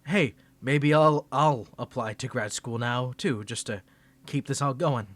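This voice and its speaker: A man's voice: mid-range voice, measured pace, a bit bright, no echo. A man voicing a flustered young tsundere male student rival in an ASMR roleplay, teasing and defensive, stammering when caught off guard. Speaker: ASMR creator.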